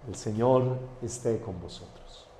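Colombian-born priest leading mass, booming church reverb, bit of hum behind. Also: male voice, measured pace. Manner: warm pastoral address to the faithful. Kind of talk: celebrating mass and giving parish notices. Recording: echoey church hall with faint background noise.

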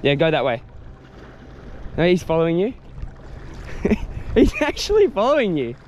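A young Australian man excitedly narrating while wading and fishing in mangrove shallows outdoors, laughing often and talking in loose slang. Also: sits high, normal speed, a little hiss or hum. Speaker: fishing vlogger. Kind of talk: casual on-the-spot narration.

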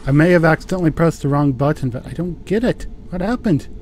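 A man's voice: fairly high pitch, lively intonation, fast talker, darkish tone, a little hiss or hum. A man giving casual, sarcastic commentary as he records himself playing a video game. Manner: wry, sarcastic. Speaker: gamer recording a let's play.

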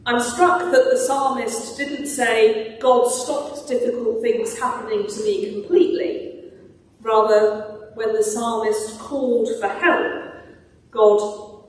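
A woman's voice: on the high side, steady tone, takes it slowly. A middle-aged woman preaching a sermon from a lectern in a large church, in a thoughtful, explanatory way. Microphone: stand mic, filmed by a far camera. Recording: echoey church from far off.

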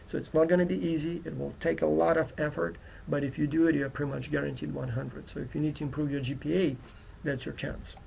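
Non-native English-speaking male instructor, creaky voice. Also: a little high, darkish tone, a little hiss or hum, poor audio. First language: not English, which is his fourth language. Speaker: university instructor. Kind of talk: explanatory course introduction lecture. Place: indoors at a computer.

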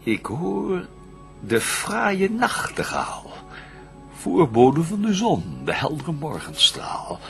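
An actor playing the proud, vengeful fallen prince of hell in a radio drama, declaiming verse in a grandiose, brooding, scheming manner. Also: male voice, fairly high pitch, huge pitch swings, slow pace, gravelly, faint music behind, full character voice. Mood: brooding, watchful.

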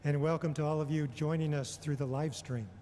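A middle-aged man delivering a prepared homily in a measured, deliberate way, pausing often between phrases. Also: fairly high pitch, steady tone, dark tone, a little hiss or hum. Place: large indoor church or hall. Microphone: gooseneck microphone on a lectern. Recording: long reverberation of a large hall.

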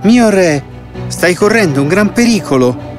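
Man playing a high-voiced, earnest young hero. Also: animated, measured pace. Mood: worried. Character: brave, earnest, respectful boy hero. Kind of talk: children's fairy tale acting. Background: music.

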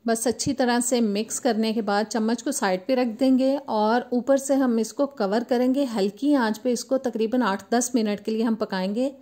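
A woman, host of a cooking channel, calmly explaining a recipe step by step in voice-over. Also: fairly high pitch, moderate pace, few pauses. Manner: steady step-by-step instructional tone.

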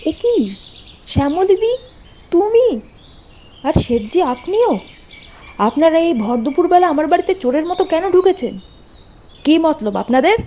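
A woman's voice: extremely high pitch, expressive, normal speed, pauses often, warm tone, slight background noise. A woman playing a protective, fiery homemaker in a doll-story drama, speaking theatrically and emphatically. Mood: outraged scolding.

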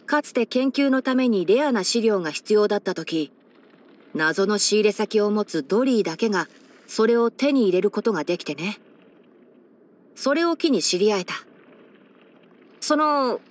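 A woman's voice: mid-range voice, big pitch swings, normal speed. A woman voicing a young male fox-eared ranger in a video game, scholarly and sensible, speaking in a composed, articulate, mildly wry way. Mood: guarded. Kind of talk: scripted video game character voice-over.

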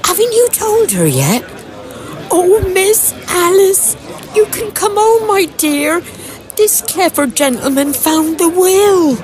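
A fussy, motherly Edwardian woman, acted by a woman with a high voice and very animated intonation. She speaks in audio drama style. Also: slow, faint music behind, a faint crowd, exaggerated voice. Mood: excited.

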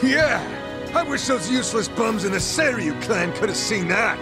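An actor playing an excitable, scornful townsman in a video game. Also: sits high, moderate pace, over loud music. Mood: excited, scornful. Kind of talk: English video game voice acting.

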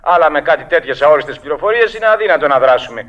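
An actor in a radio drama playing a sceptical, patronizing police desk officer who placates a caller. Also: male voice, fairly high pitch, lively intonation, lightly acted. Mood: sceptical, impatient. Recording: dull old radio recording.

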